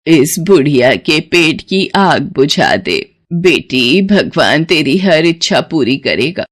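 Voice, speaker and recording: Storybook elder: aged beggar woman, benevolent and solemn, deep and unhurried, acted by a female narrator. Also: big pitch swings, exaggerated voice. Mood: pleading. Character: kindly old beggar woman, wise and blessing.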